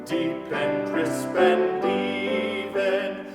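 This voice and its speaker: A woman's voice: mid-range voice, very slow, loud music behind the voice. A woman singing a traditional Christmas carol. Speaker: singer. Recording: very echoey hall, distant mic.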